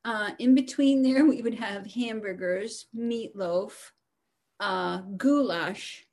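Female guest storyteller, medium pitch, casual and animated anecdotal delivery. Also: takes it slowly. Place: at home.

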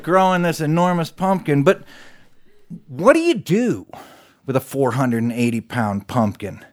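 Higher-pitched man telling a folksy tall tale. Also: a little slow. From West Virginia, United States. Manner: folksy, conversational, animated asides to listeners. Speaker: storyteller. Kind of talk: live tall-tale storytelling. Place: recorded live in a studio.

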